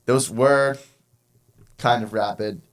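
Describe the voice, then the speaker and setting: A man's voice: medium pitch, slow. A young man co-hosting a podcast in casual chat, upbeat and prone to restarts. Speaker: podcast co-host.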